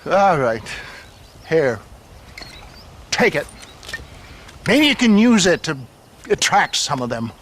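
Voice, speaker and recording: A man's voice: fairly high pitch, big pitch swings, takes it slowly, long pauses, a bit bright, slight background noise, full character voice. A man playing an old, pompous, wheedling swindler in a video game, with florid salesman patter and stammering excuses. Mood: flustered, pleading. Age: older. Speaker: elixir peddler. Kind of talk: dramatic video game cutscene acting.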